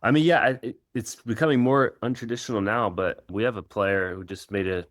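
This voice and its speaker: A middle-aged man who grew up in Israel, Kenya and the United States, answering interview questions in an informal, reflective, halting way as a coach. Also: on the low side, no room sound, clean studio sound. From Israel and Kenya, United States from age 13. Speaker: professional soccer coach.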